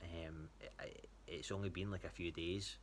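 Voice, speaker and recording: Scottish male, low voice, informal and halting check-in style, noisy recording. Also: fairly even intonation. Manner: hesitant, rambling. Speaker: athlete giving a training check-in to a coach. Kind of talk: casual spoken update.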